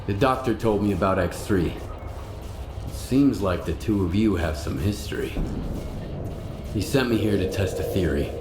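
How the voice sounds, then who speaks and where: A man plays a hardened stalker who speaks bluntly and presses with questions. His voice sits very low. The sound is roomy, with game music running behind. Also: moderate pace, pauses often, natural voice. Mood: accusing. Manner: blunt, questioning, plain-spoken.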